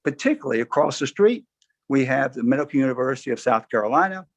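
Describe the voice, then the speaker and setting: A hoarse man presenting plans to a review board on a video call, often clearing his throat and restarting his sentences. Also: mid-range voice, animated, fast talker, highs cut off. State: hoarse from allergies. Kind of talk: formal presentation at a public meeting.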